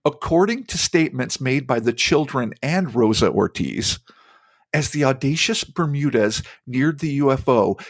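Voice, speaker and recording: A man's voice: mid-range voice, no echo. A man with a New Jersey accent, hosting a podcast, who reads out a story and breaks off into joking asides. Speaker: podcast host. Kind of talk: reading a story aloud with casual banter.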